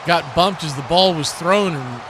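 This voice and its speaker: A man's voice: on the high side, a little slow, a crowd in the background, background noise. A man hosting a livestream, calling football play-by-play and chatting casually with viewers. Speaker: livestream host and play-by-play commentator.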